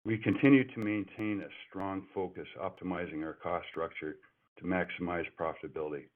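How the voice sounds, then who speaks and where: A man's voice: deep voice, fairly even intonation, brisk. A man, a company executive, reading prepared earnings call remarks, with frequent restarts and self-corrections. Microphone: telephone. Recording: phone-line conference call audio.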